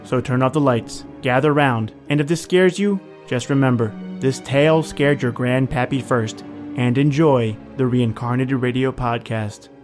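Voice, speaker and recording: A man's voice: medium pitch, a little slow, a little mellow, soft background music. A man hosting a horror anthology podcast, reading a scripted introduction. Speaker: podcast host.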